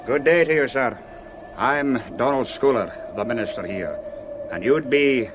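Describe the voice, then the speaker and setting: An actor playing an older, hospitable but probing Scottish island minister in a radio drama, speaking with courteous hesitations. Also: male voice, medium pitch, unhurried, background noise, natural voice. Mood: courteous, solicitous.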